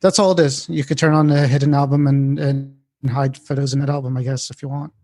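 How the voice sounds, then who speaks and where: A man's voice: mid-range voice, flat delivery, fairly quick, a little mellow. A man co-hosting a casual tech chat in an informal, loosely phrased way. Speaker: podcast co-host.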